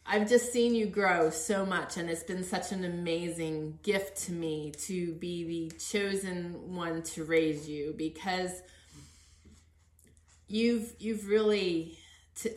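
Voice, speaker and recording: A middle-aged woman hosting a podcast interview in an earnest, encouraging, conversational way. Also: on the low side. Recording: echoey untreated room.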